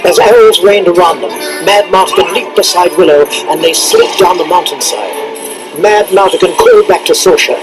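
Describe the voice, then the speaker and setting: A man's voice: high-pitched, moderate pace, low-quality recording. A man reading a scripted, dramatic storybook narration on a read-along cassette. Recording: cassette narration over loud music. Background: loud orchestral music and sound effects.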